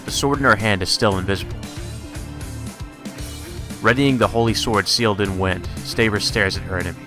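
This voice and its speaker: Low-voiced man reading a story aloud over music. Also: moderate pace. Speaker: let's play narrator reading a visual novel aloud. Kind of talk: dramatic read-aloud narration.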